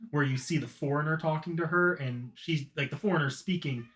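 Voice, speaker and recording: A man's voice: a little high, a little fast, a bit bright. A man co-hosting a casual anime discussion, hesitant and often restarting his phrases. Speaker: podcast co-host.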